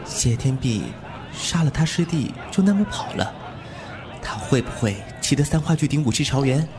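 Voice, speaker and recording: A man's voice: medium pitch, animated, normal speed, a crowd in the background, background noise, close to an everyday voice. A man playing a flippant, wilful young beggar-clan heir in a Chinese wuxia audio drama, teasing and defiant. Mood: gossipy.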